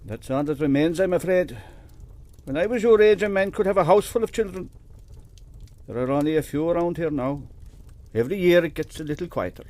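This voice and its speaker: Actor as a genial old Kerry storyteller, higher-pitched man. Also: fairly quick, long pauses, close to an everyday voice. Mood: wistful. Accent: Kerry Irish. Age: older. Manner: folksy, animated storytelling. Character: genial old male island storyteller, grandfatherly and boastful. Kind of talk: radio drama acting.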